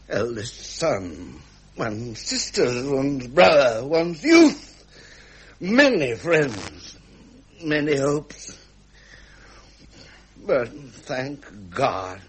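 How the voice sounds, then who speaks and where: An actor playing a weary, bored aging English prince with pompous, deliberate upper-class speech in a British radio drama. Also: male voice, mid-range voice, a little hiss or hum. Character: weary, bored aging royal prince, imperious yet melancholy.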